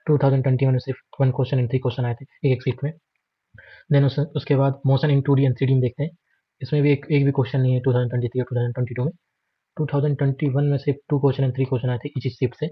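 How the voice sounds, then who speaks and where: A man's voice: mid-range voice, dry recording, dull audio. An Indian man giving an informal, matter-of-fact exam prep walkthrough, listing items repetitively and mixing in English terms. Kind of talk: informal screen walkthrough lesson.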